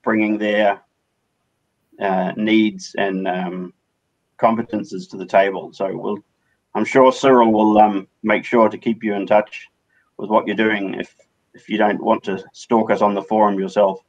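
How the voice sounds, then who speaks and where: A man's voice: lowish voice, little pitch movement, a little slow, muffled recording. A man on a video call who speaks hesitantly, restarting his sentences. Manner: hesitant, many fillers and restarts. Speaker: community organizer. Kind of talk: casual video call discussion.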